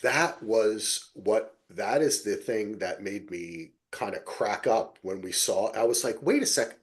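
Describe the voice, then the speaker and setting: A man hosting a podcast, chatting casually about television in a rambling, animated way and swearing freely. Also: lowish voice, a little slow. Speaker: podcast host. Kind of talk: casual TV recap discussion.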